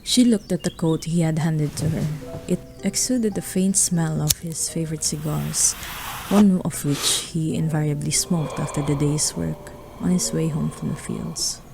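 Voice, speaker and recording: A woman narrating a radio drama, reading scripted literary prose in a measured way. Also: low voice, steady tone, gravelly, music in the background, poor audio.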